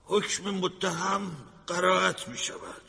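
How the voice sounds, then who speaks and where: A high, forceful stern judge. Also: male voice, flat delivery, vocal fry, slightly rough, heavily acted. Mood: stern, proclaiming. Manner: declamatory, formal. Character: stern, formal judge pronouncing sentence. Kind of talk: dramatic acting.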